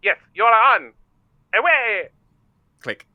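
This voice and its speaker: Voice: medium pitch, very animated, slow pace, narrow, tinny audio, pitched above the performer's own voice. A tabletop game director voicing a pompous, affronted hotel concierge in an improvised comic horror roleplay. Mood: eager. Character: indignant, theatrical hotel concierge, secretly a werewolf.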